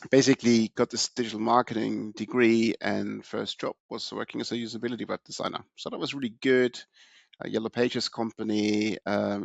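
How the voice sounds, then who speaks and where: Gravelly low-voiced man telling his career story. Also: dry recording, lo-fi sound. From Austria. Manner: relaxed, many restarts and fillers. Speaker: podcast guest. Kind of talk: casual interview storytelling.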